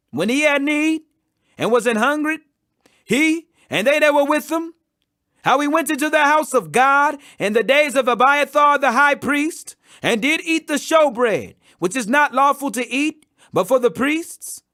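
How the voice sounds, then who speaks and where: A man's voice: extremely high pitch, pushed and bright. A man reading a Bible chapter aloud in an earnest way, lifting his voice for the quoted words. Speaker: scripture reader.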